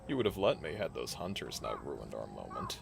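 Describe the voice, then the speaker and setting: A man's voice: extremely low pitch, sing-song, brisk, heavily acted. A man playing a cocky, menacing alpha werewolf and flirtatious rival in an audio drama, with a taunting, theatrical manner. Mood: teasing, flirtatious. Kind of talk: dramatic audio roleplay acting. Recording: clearly noisy background.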